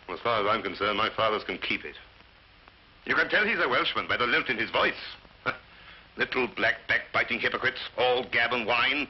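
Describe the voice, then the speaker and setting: A bitter, weary brother in a 1940s British film melodrama. His husky voice swings widely with scornful, theatrical declamation. The old soundtrack is dull and muffled. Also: medium pitch. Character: bitter, drink-loving Welsh brother, sardonic and weary. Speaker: film actor.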